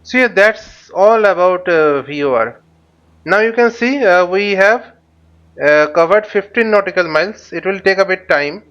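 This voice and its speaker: A man with a fairly high, very clear voice talks through a flight simulator tutorial as he goes. His delivery is halting, with phrases repeated and reworded. The audio is slightly dull and lo-fi. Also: animated, a bit bright. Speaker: flight simulator instructor and channel host. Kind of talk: live tutorial commentary while flying a simulator.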